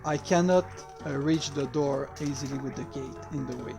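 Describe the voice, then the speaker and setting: A native French-speaking man with a French accent gives casual, halting playthrough commentary as a game developer, hesitating in non-native English. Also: medium pitch, gravelly, over music.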